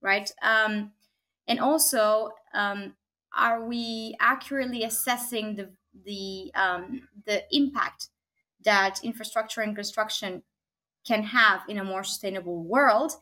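A woman from Argentina, a technology consultant, speaking as a guest in a podcast interview, thoughtful, with frequent pauses and tag questions. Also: mid-range voice, sharp tone. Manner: thoughtful, many fillers and restarts, frequent "right?".

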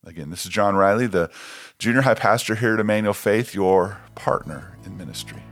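A man's voice: lowish voice, fairly quick, a bit bright, soft background music. An American man, a pastor, reading a scripted devotional.

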